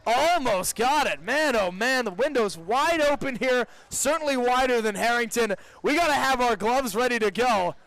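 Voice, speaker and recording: A man's voice: very high voice, animated, normal speed, hardly any pauses, a bit bright, no echo, rough recording. A young man doing live radio play-by-play of a baseball game from a booth with an open window. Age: young adult. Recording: live outdoor broadcast with background noise.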